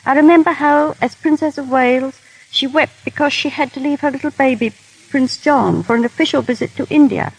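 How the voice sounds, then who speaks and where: A woman's voice: high-pitched, fairly quick, vocal fry, low-quality recording. A woman guest telling genteel, formal anecdotes in a scripted 1930s radio interview. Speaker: guest technical advisor and former royal dresser. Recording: vintage 1930s radio broadcast.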